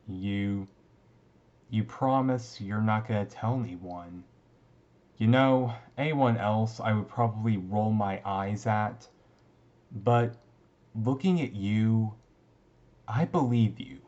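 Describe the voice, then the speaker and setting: A man acts out a young male vampire student, secretive and easily flustered, confiding in a classmate in an intimate ASMR roleplay. He speaks slowly and haltingly in a lowish voice, with stammers and pauses. The recording is a little muffled and lo-fi. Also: a little mellow. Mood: relieved, trusting.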